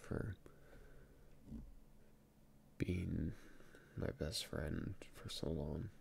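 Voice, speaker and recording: A young man playing a shy, tender best friend in love, soft-spoken and halting, in an intimate ASMR roleplay. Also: a bass voice, fairly even intonation, creaky, a little hiss or hum, heavily acted. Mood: tender, grateful.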